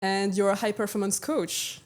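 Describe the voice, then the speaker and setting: A young woman with a French accent, hosting a podcast in a bright, enthusiastic way that is full of laughter. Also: mid-range voice, level tone, moderate pace. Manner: upbeat, enthusiastic, laughs often. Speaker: podcast host. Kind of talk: casual interview hosting.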